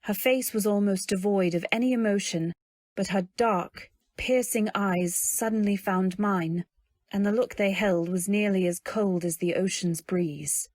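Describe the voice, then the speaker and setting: A woman playing a dreamy, naive young newlywed, narrating in measured phrases in an old-time radio drama style. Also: medium pitch, darkish tone, no room sound, studio quality, close to an everyday voice. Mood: gentle, wondering. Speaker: narrator and lead. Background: faint music.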